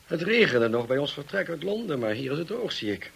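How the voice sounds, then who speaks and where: An actor playing a brisk, irritable upper-class husband, master of a manor, in a radio play, with a clipped, urbane manner. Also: male voice, fairly high pitch, big pitch swings, gravelly, a bit bright, husky, full character voice. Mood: genial, brisk.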